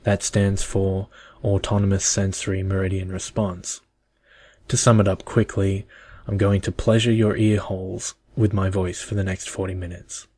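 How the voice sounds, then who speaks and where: A young man speaking in a soft, measured, soothing ASMR style, as if for a bedtime story. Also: sits low, level tone, no echo. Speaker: video creator reading a bedtime story.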